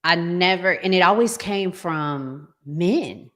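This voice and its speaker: A woman's voice: on the low side, expressive, slow, fairly bright tone. An American woman hosting a casual talk show in a conversational way. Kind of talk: casual relationship talk show with sponsor read.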